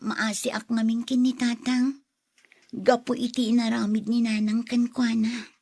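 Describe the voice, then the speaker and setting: Woman as young granddaughter, fairly high voice, kind, forgiving, radio drama. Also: little pitch movement, unhurried, darkish tone, husky. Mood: gentle, caring. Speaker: drama actor.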